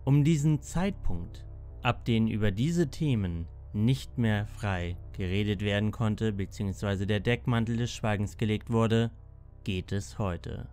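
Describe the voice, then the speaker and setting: A man narrating a scripted mystery documentary in a measured way, with dramatic pauses and emphatic short sentences. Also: on the low side, darkish tone, faint music behind. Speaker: narrator of a mystery audio report.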